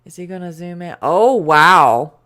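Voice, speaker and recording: Slow-talking, lively young woman host. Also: lowish voice. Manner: chatty, exclaiming, fillers like "um" and "like". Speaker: host reacting to videos. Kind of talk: casual reaction commentary.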